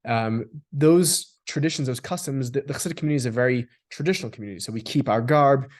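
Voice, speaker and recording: A man's voice: mid-range voice, quick pace, a little mellow. A man from Australia speaking as a guest in a reflective discussion, often repeating words as he builds long explanations. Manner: thoughtful, restarts and repeats words while building long sentences.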